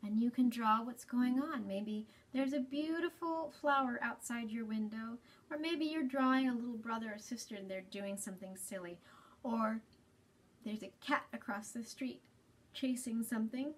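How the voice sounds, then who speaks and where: A woman in her thirties hosting a children's storytime, explaining gently and deliberately in a slightly breathy voice. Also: fairly high pitch. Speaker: children's storytime host at a library. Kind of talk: children's storytime reading and talk. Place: small library space, indoors.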